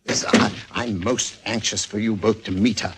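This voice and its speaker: An actor playing an eager, courteous museum curator, scholarly and hesitant, in 1940s radio drama style. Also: male voice, on the low side, fairly even intonation, moderate pace, natural voice. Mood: sly, genial. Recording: noisy old 1940s radio recording.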